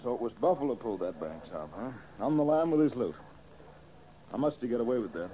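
An American man playing an earnest, brisk young amateur detective in an old-time radio drama. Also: medium pitch, big pitch swings, lightly acted. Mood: brisk, inquisitive. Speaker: detective hero and show host. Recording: muffled, hissy old radio recording.

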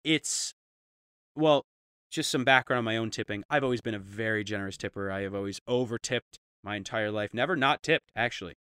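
A man co-hosting a podcast, chatting casually and trading quick jokes. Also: lowish voice, sharp tone, clean tone, no room sound, clean studio sound. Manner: joking, quick banter. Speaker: podcast co-host. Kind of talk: casual comedic chat.

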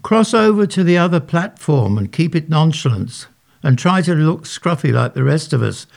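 Man reading a matter-of-fact middle-aged guy on a train, lifted pitch, gravelly. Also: very animated, moderate pace, darkish tone. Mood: advising.

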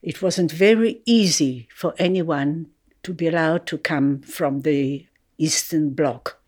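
An older woman speaks in a lowish voice, taking her time. She tells her story reflectively, with gentle laughs. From Poland. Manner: slow and thoughtful, with soft laughs. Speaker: interviewee. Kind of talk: reflective personal recollection.

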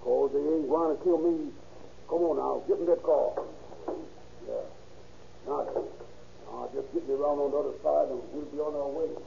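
A domineering escaped convict in a vintage radio crime play. The voice is gravelly and a little high, slangy and commanding. The recording is muffled with some hiss. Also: male voice, fairly even intonation, normal speed, long pauses, dark tone. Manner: commanding, slangy exaggerated dialect speech. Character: menacing escaped male convict, gun in hand, domineering. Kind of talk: 1930s radio crime drama acting.

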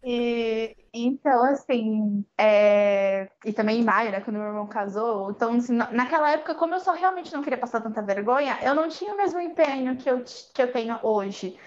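A Brazilian woman with a Brazilian Portuguese accent talks freely as a podcast guest, stringing her story along with small filler words. Her voice sits a little high. The audio is muffled and lo-fi. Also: brisk, few pauses. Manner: chatty storytelling with frequent fillers. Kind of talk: casual interview chat.

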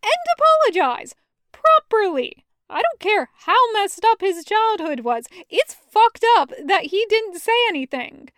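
Woman voicing an emphatic high-pitched angry sister. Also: big pitch swings, a little slow, fairly bright tone, no echo, clean studio sound, full character voice. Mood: angry, blunt. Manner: emphatic, sweary outbursts. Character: angry, hurt, blunt sister, feeling betrayed.

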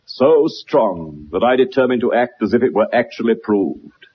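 An actor playing a self-assured, eloquent gentleman detective in a radio drama, with a formal, lecturing manner. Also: medium pitch, expressive, normal speed, husky, low-quality recording. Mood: assured, explanatory.

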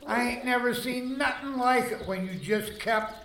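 A man's voice: extremely high pitch, moderate pace, a bit bright, reverberant, slight background noise. A man playing a friendly, folksy old stablehand with a Western American drawl in a radio western. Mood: friendly, chatty. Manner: folksy drawling cowboy talk.

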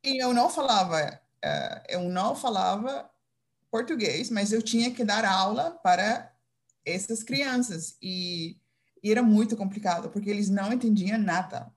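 A woman from Curaçao with a Dutch accent, a teacher being interviewed, speaking haltingly with many fillers and restarts. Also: medium pitch, expressive. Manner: hesitant, searching for words with many fillers and restarts. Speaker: English teacher, interview guest. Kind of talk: casual interview answers.

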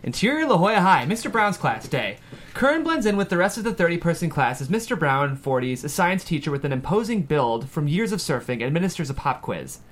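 A man's voice: a little high, sing-song, brisk. A man narrating a screenplay, reading stage directions aloud at a table read.

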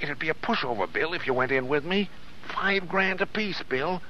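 A scheming, resentful American small-time crook in a 1930s film. He is slangy and insistent, his pitch moving restlessly, on a dull old soundtrack. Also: animated, normal speed, pushed and bright, slight background noise. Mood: bitter, scheming. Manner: griping, insistent.

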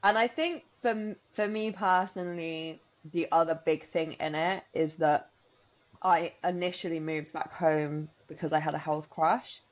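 Podcast co-host, woman in her 30s, deep voice, rambling chatty delivery, slightly muffled lo-fi sound. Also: fairly even intonation, unhurried. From Spain. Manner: chatty, rambling with many likes and restarts. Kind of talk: casual chat.

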